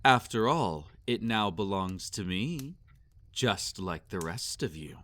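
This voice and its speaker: A man playing a sadistic, possessive vampire master in a roleplay audio, speaking formally and condescendingly with silky menace and drawn-out commands. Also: lowish voice, animated, a bit bright. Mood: possessive, admiring. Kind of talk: roleplay audio acting, intimate and theatrical.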